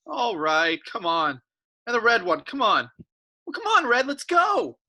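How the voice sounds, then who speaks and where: Woman reading a restless farm kid, kinda husky, really lively pitch, sci-fi table read. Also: a little slow, exaggerated voice. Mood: grumbling. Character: restless, frustrated young farm boy. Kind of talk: virtual script table read.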